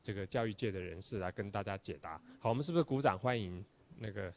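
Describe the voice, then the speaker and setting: A man's voice: lowish voice, fast, noisy background. A man introducing guest speakers to an audience in a formal, filler-heavy way.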